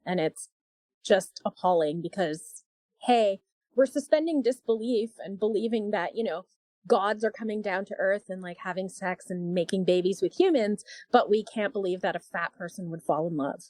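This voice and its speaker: A woman's voice: mid-range voice, normal speed, darkish tone, dry recording, rough recording. Earnest woman, dry close sound. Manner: earnest and emphatic, rising to indignation. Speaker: opera singer guest. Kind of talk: casual podcast interview.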